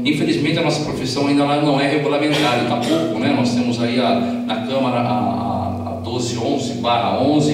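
A man's voice: mid-range voice, a little mellow. A middle-aged man, giving an earnest, informal public speech with emphatic repetition. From São Paulo state, Brazil. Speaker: private detective, guest speaker. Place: small council chamber, indoors at a lectern. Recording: echoey hall, distant mic, humming noise.

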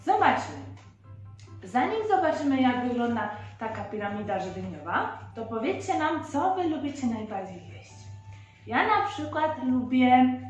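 A woman's voice: sits high, very animated, a little slow, muffled recording. A young woman presenting a children's lesson, explaining simply and prompting with questions. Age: young adult. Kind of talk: child-directed teaching. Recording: very echoey room, faint background music.

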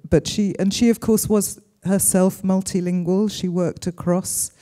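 Articulate older woman moderator, dark voice. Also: mid-range voice, steady tone. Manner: articulate, measured, thoughtful. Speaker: interviewer, moderator, professor. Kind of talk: moderating a post-screening Q&A. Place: cinema stage. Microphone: handheld mic.

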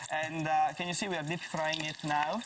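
Brief: middle-aged Italian chef, Italian accent, teasing and flirty, halting English; lapel mic on a studio kitchen set, slightly off-mic. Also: male voice, on the high side, little pitch movement, recorded from a distance, noisy background. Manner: playful, flirty, halting non-native phrasing. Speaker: chef guest. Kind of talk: cooking demonstration on breakfast TV.

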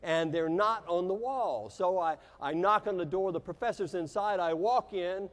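An American man in his fifties giving a keynote speech as a motivational business speaker, telling a personal story in a theatrical way with dramatic pauses. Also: high-pitched. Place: large convention hall stage. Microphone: lapel mic. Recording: echoey hall with long reverb.

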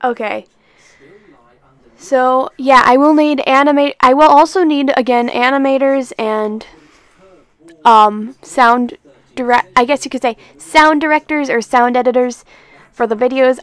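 High, clear young woman, rambling casually. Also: dry recording. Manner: rambling, hesitant, self-deprecating. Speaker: amateur animation project creator. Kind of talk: casual announcement, unscripted.

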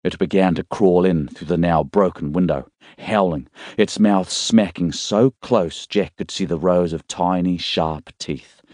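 Low voiced guy narrating a dramatic novel, dry close audiobook sound. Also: measured pace, hardly any pauses, a little mellow, dry recording. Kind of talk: scripted dramatic fiction narration.

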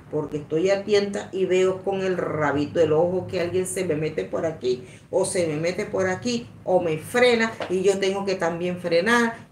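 Live-stream host: woman in her sixties, fairly low voice, halting chatty delivery, roomy sound. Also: a little mellow. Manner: rambling, repetitive, halting. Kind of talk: casual live-stream chat, reading out comments. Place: small indoor room at a table. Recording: echoey room, some background noise.

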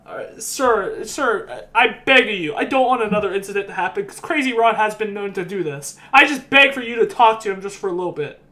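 A man's voice: high voice, animated, fairly quick, few pauses, pushed and bright. A man playing a polite, bumbling asylum worker on a prank call, hesitant, insistent and mock-earnest. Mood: pleading.

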